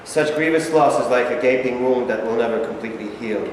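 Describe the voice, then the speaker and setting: A man's voice: mid-range voice, fairly quick, lightly acted. Stern Japanese patriot, played by a man, echoing hall. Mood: solemn, accusing. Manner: formal, declamatory speechmaking. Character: stern, solemn Japanese wartime patriot, unyielding and loyal. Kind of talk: staged reading of a stage play. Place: reverberant indoor room at a staged reading. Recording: very echoey hall, faint background noise.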